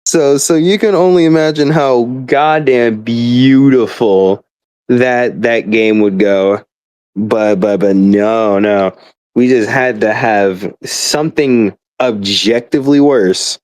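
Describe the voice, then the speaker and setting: A man's voice: medium pitch, takes it slowly, darkish tone, clean tone. A man casually ranting about gaming in a rambling, emphatic, exasperated way, swearing often. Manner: rambling, profane.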